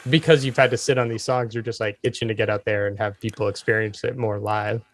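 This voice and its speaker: A man's voice: fairly low pitch, little pitch movement, fast talker, no echo. A man hosting a podcast interview, easygoing and agreeable, often answering with short yeahs. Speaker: podcast host. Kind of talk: casual interview chat.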